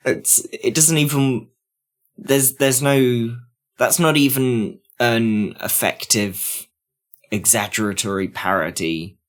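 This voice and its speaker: A man's voice: mid-range voice, slow pace. A man co-hosting a podcast, making casual critical points with sardonic emphasis. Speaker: podcast co-host.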